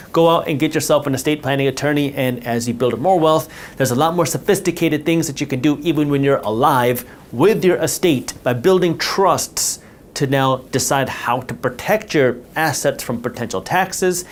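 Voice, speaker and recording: A man's voice: a little high, a little hiss or hum. A man whose first language is Punjabi, explaining how to protect wealth in a whiteboard talk, stressing points by repeating words. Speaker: finance educator.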